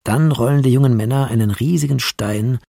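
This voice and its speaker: Gravelly man reading a screenplay. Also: mid-range voice, brisk, mellow, no echo. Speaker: narrator reading film shot descriptions. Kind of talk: scripted screenplay narration. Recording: clear music behind the voice.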